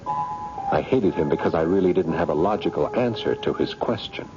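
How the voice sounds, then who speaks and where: A man's voice: sits low, normal speed, a little mellow, soft background music, close to an everyday voice. An actor playing a resentful, suspicious man cornered in a scheme, earnest and wry, in a 1970s radio drama. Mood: brooding. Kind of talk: 1970s radio drama acting and first-person narration. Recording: dull, noisy vintage radio broadcast.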